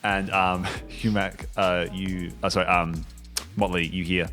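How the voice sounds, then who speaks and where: A man's voice: low voice, level tone, measured pace, sharp tone, soft background music. A man improvising comic narration as game master, conversational and amused.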